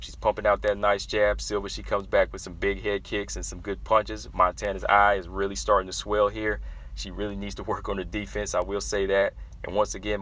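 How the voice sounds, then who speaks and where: A young man casually breaking down a fight for his viewers, animated and talking straight to them as y'all. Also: on the low side. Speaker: MMA analysis vlogger.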